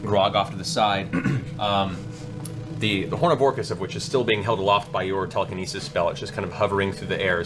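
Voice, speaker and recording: Deep guy running a tabletop game, dramatic storytelling, music playing behind him. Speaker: game master. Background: background music.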